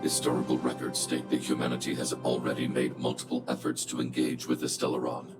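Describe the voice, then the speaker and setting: Extremely deep, cold guardian robot. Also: male voice, completely flat delivery, fairly quick, over loud music. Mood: cold, threatening. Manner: formal, clipped machine-like delivery. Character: cold, imposing, logical guardian robot, protective, unyielding. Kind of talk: video game cutscene acting.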